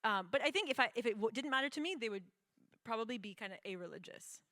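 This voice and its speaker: A woman's voice: on the high side, expressive, quick pace, pushed and bright, no room sound, studio quality. A young woman from the United States, a guest in a conversation, telling her own story earnestly with frequent laughs and fillers. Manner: earnest, laughs often, many fillers and restarts.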